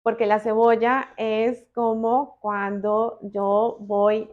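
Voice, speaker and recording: A middle-aged woman with a Colombian accent, talking reflectively and deliberately, with pauses, as a podcast guest. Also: fairly high pitch, little pitch movement, phone-quality sound. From Bogotá, Colombia. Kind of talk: reflective personal interview talk.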